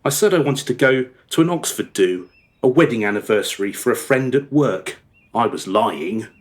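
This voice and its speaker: A male actor plays a guilt-ridden married father confessing to his daughter in a radio drama. His mid-pitched voice swings up and down expressively as he rambles through every detail, hesitating as he remembers. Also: animated, normal speed, natural voice. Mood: sheepish, confiding. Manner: rambling, over-descriptive, hesitant reminiscing. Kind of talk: radio play dialogue, storytelling monologue.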